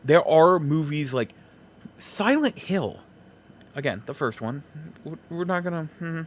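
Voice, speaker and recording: Animated man hosting a casual podcast. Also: a little high. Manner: halting, rambling, repeats phrases for emphasis. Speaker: podcast host. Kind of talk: casual solo podcast commentary.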